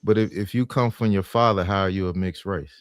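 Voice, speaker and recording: A man with a somewhat low voice, recorded close and dry, pushing his point in a heated debate. He stammers and restarts, and. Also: steady tone, fairly quick, smooth, no room sound. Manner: stammering, insistent questioning. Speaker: panelist.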